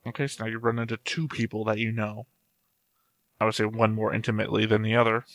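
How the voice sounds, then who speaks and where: A man's voice: lowish voice, level tone, quick pace, fairly bright tone, no room sound, faint music behind. A man giving casual reaction commentary as a host, thinking aloud bluntly and swearing freely. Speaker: host of an anime reaction show.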